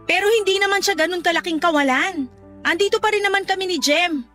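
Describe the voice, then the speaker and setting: A woman's voice: extremely high pitch, quick pace, bright, forceful tone, faint music behind. A Filipino actress playing a jealous, fed-up girlfriend, blunt and accusing, in a Tagalog radio drama. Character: jealous, fed-up girlfriend sharing one man.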